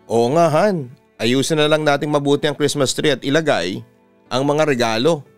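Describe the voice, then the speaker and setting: A man's voice: a little high, moderate pace, clear, resonant tone, no room sound, faint music behind, close to an everyday voice. A man voicing a firm, stern middle-aged father in a narrated family story. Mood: practical. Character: stern middle-aged father, easily angered by his son.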